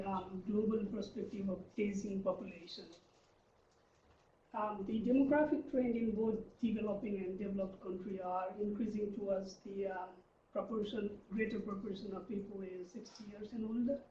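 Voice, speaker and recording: A man in his thirties from Nepal giving an academic research presentation, pausing often with um and uh. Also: sits high, steady tone, dark tone, a little hiss or hum. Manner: hesitant, frequent um and uh fillers. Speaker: research lecturer. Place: lecture room. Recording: distant, dull, roomy lecture recording.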